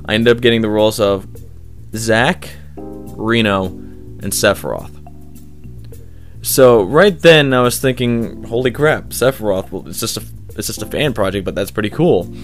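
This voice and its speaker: A man's voice: lowish voice, expressive, a little slow, faint music behind. A young man, a hobby voice actor, answering interview questions in a loose, rambling way, full of fillers and restarts.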